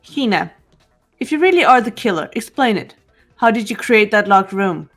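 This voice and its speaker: A woman voicing a calm young female investigator who questions others in measured tones. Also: medium pitch, faint music behind. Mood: probing. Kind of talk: fan voice acting of a game.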